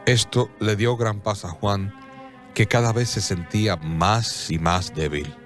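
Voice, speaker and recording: A man narrating a scripted radio drama in a solemn, measured storytelling manner. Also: lowish voice, a bit bright. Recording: clear music bed behind.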